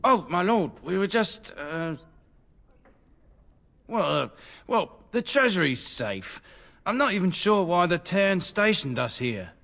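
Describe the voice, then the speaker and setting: Obsequious flustered guard, higher pitch, hesitant, reverberant hall sound, fantasy game. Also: male voice, very animated, slow, creaky, pauses often, a bit bright, heavily acted. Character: flustered, deferential castle guard caught idling. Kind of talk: fantasy video game acting.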